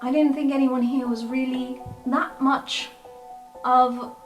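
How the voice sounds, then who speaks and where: A woman playing a tabletop role-playing game, improvising narration and dialogue in halting, thoughtful phrases. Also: high voice, level tone. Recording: roomy, distant mic under prominent music.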